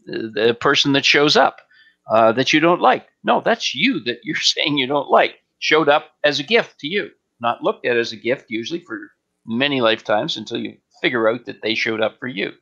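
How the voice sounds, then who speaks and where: A man giving an unscripted spiritual question-and-answer talk as a teacher, speaking deliberately and emphatically with frequent pauses on an online stream. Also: mid-range voice, animated, creaky, sharp tone, lo-fi sound.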